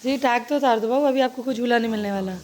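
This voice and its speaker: A woman's voice: on the high side, quick pace, darkish tone, slight background noise. A woman chatting to her phone camera at home for a daily family vlog, teasing her family as she goes. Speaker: vlogger. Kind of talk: casual vlog chat.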